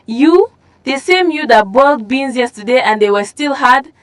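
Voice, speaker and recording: A woman storyteller plays a haughty young village woman in a folktale. She is scornful and competitive, and her voice jumps up high. The recording is very dry. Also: lively intonation, moderate pace, no echo. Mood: scornful, boastful. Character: boastful, scornful young village women, rivals in love.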